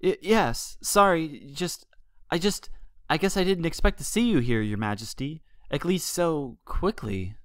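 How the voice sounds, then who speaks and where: A man's voice: a little high, lively intonation, measured pace, fairly bright tone, no echo, voice pushed up. An anxious, sheepish unicorn mare, acted by a man for a storybook reading. The speech stammers and hesitates. The recording is very dry. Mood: flustered. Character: sheepish, anxious, bookish female unicorn pony. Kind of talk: fan fiction audiobook character voice.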